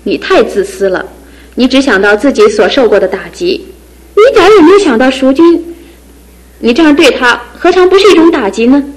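Outspoken young teacher, higher bright voice, animated delivery. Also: female voice, fairly quick, low-quality recording. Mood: reproachful. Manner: frank, animated, scolding then cheerful. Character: lively young woman teacher, frank and outspoken. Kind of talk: radio drama acting.

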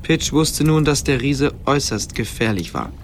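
An actor narrating a fantasy radio play as a grave, calm storyteller with measured suspense. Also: male voice, medium pitch, a little hiss or hum, natural voice. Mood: measured, ominous.

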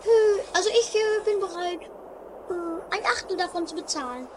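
A woman's voice: very high-pitched, animated, moderate pace, noisy background. A woman playing a greedy, bossy, snappish treasure hunter in an amateur comedy radio play.

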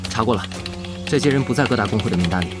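Brisk, businesslike male guild gamer, acted. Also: on the low side, lively intonation, close to an everyday voice. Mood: puzzled, businesslike. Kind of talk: Chinese radio drama acting. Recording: background music under the voice.

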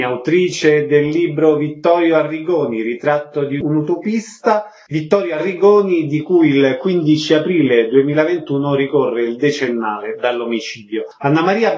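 A man's voice: on the high side, moderate pace, few pauses, lo-fi sound, soft background music. A man presenting and interviewing on radio in a scripted announcing style. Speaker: radio host.

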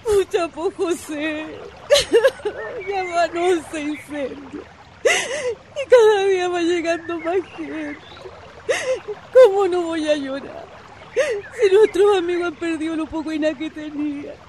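A distraught Chilean shantytown wife, played by an actress in a radio drama. Her voice is very high and slow, with colloquial, plaintive phrasing. Faint noise sits behind her. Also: darkish tone. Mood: tearful, grieving. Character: distraught, compassionate working-class shantytown wife and mother.

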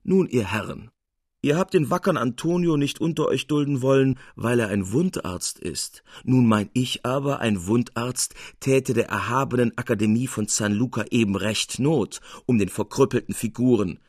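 A man's voice: mid-range voice, normal speed, a little mellow, husky, no echo, studio quality, close to an everyday voice. A man voicing a bold, sardonic master painter with an emphatic, commanding delivery in a literary reading. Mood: mocking.

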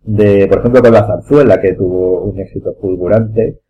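A man's voice: fairly low pitch, steady tone, moderate pace. A man with an accent from Spain, chatting casually as a panelist in a group podcast. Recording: muffled, noisy with hum.

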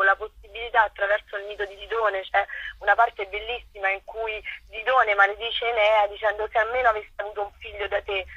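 A woman speaks as a theatre director being interviewed, explaining things earnestly and in detail. Her voice is bright and forward with a husky grain. The sound is dull and lo-fi, like a phone-in. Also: mid-range voice, no room sound.

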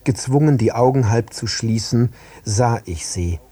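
A man narrating literary prose for an audio drama in measured, separate short phrases. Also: mid-range voice, lively intonation, dark tone, slightly rough. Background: prominent music.

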